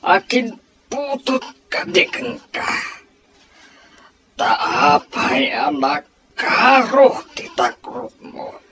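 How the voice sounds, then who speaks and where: An actor in an Ilocano radio drama playing a harsh, warlike father who speaks with drawn-out, forceful scorn to a child he calls a coward. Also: mid-range voice, big pitch swings, noisy background. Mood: scornful, angry.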